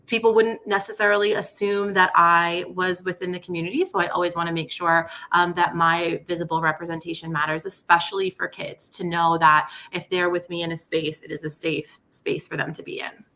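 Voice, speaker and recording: A woman's voice: fairly low pitch, flat delivery, a little fast, hardly any pauses, sharp tone. A woman from Staten Island, a nonprofit educator, telling her story earnestly on a panel. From Staten Island, New York. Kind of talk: casual panel talk.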